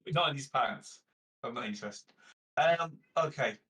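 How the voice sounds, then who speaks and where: A man's voice: medium pitch, moderate pace, sharp tone, slightly rough. A man chatting casually as a player at a tabletop game, jokey and sweary, recorded at a distance from the mic.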